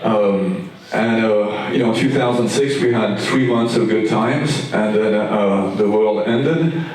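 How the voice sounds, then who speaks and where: A man with a Belgian French accent and French as his first language, speaking on an architecture panel with many pauses and ums. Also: fairly low pitch, a little mellow. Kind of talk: panel talk. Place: large event room. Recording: echoey room, distant mic.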